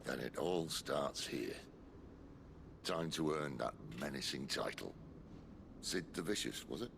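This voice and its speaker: A man playing a wry, world-weary middle-aged outlaw leader in a fantasy video game, with a gravelly voice and dry understatement. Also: expressive, a little slow, noisy background, heavily acted. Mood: resolute. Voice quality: very deep gravelly, creaky. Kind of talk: video game cutscene acting.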